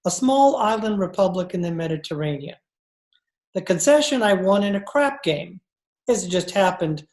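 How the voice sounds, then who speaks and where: Wisecracking radio-drama rogue , played by a woman, lively intonation, wry storyteller, roomy sound. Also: on the low side. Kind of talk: old-time radio drama acting and first-person narration. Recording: roomy video-call sound.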